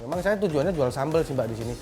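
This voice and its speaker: A man's voice: on the high side, animated, rapid-fire, over music. A man from Central Java, a restaurant owner explaining things informally to camera. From Magelang, Central Java, Indonesia. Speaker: restaurant owner being featured.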